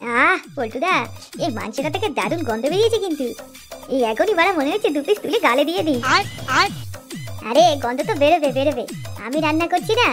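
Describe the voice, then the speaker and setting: A very high, childlike cartoon voice for a mischievous village youth. He rattles off crude, slangy banter at a quick pace, cocky and bickering, with light music underneath. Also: very animated. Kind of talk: comic cartoon dialogue.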